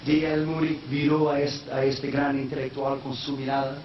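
A man preaching a sermon in a language that is not his first, slipping in English phrases and rising into shouted, sung-out peaks. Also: medium pitch, little pitch movement, normal speed. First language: not Spanish. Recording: echoey, distant, dull sound with faint noise.

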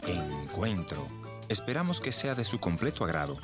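A man announcing the start of a radio program in a slow, formal way. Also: low voice, sing-song. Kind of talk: program introduction. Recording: announcer voice over music.

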